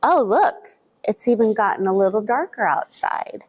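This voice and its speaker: A woman's voice: mid-range voice, very noisy. A woman reading a picture book aloud to young children at a library storytime, asking them questions as she goes. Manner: slow, animated, speaking to young children with questions.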